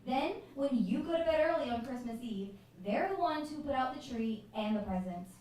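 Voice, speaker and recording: A woman's voice: fairly high pitch, lively intonation, fairly quick, a little mellow, natural voice. A woman playing a mean, mocking bully girl in a stage radio play, with taunting, slangy street-kid talk. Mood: scornful. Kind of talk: stage radio drama acting. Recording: echoey theatre, far from the mic.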